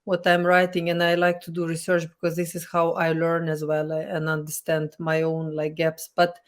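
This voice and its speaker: A woman hosting a casual interview speaks English as a second language in an even, flat tone. Her phrasing is halting, and she often restarts while looking for the right word. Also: sits low. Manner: halting, searching for words, non-native phrasing. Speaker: podcast host.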